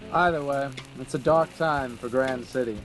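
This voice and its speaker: A man's voice: medium pitch, normal speed, over music, natural voice, poor audio. A man playing a reassuring, philosophical fellow in an old-time radio drama.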